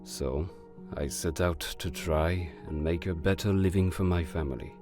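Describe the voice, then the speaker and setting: A man playing a silver-tongued, gentlemanly con artist of living fire who sprinkles in French endearments, in a romantic audio drama. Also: sits low, moderate pace, music in the background, lightly acted. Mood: charming, polite.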